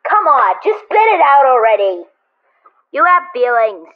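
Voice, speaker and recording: A woman voicing an excitable, teasing female cartoon pony through a voice changer, pushy and quick to fluster. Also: high voice, pitched above the performer's own voice. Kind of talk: improvised voice-changer skit. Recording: tinny, phone-like sound.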